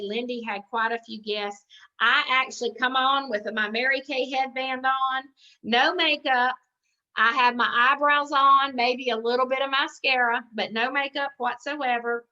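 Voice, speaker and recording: A woman's voice: fairly high pitch, sharp tone. A woman giving an informal training talk on a conference call, chatty and rambling, often thinking aloud. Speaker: sales director training consultants. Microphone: conference call line. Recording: dull, lo-fi conference call audio.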